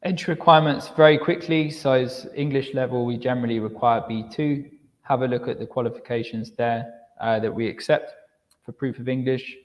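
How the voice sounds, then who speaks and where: A man presenting university admission steps in a live online session, explaining clearly, often repeating words as he restarts and checking in with "okay". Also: medium pitch, darkish tone, long reverb tail.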